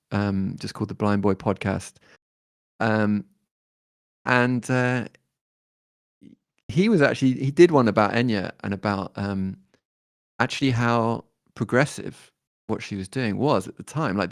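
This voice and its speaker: A man, talking as a guest musician in a relaxed interview, thoughtful and hesitant with many fillers and restarts. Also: fairly low pitch, normal speed, no room sound, clean studio sound. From born in Detroit, raised in London.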